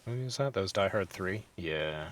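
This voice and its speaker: A man hosting a podcast, casually discussing news in a halting, hesitant way. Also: low voice, lively intonation. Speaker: podcast host.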